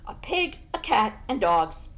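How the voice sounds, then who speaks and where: A woman's voice: on the high side, very animated, slow, fairly bright tone, heavily acted. A woman voicing a friendly, playful boy in a storybook reading. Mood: amused. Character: friendly, playful boy who likes animals.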